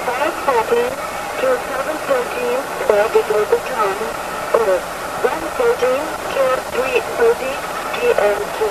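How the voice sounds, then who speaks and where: Very high female announcer, heavy shortwave noise. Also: vocal fry. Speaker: radio announcer. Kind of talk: formal scripted station announcement. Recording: very noisy shortwave radio reception.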